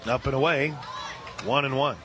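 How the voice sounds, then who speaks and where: A man doing live radio play-by-play of a softball game, relaxed and chatty, lifting his voice on big plays. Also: medium pitch, moderate pace, noisy background.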